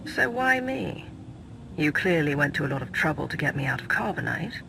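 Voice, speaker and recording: Composed Sith hero, creaky voice, animated pitch, measured, video game cutscene. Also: male voice, background noise. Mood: questioning. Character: composed, confident male Sith sorcerer hero.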